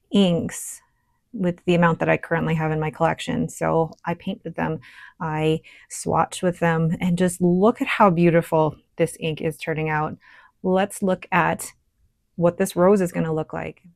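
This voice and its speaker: A woman with a low, gravelly and scratchy voice talks through her desk work in a relaxed, chatty way, thinking aloud as she goes. The sound is dry and close, with no room around it. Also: no echo. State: scratchy, hoarse voice. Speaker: stationery vlogger. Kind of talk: casual show-and-tell while writing and painting.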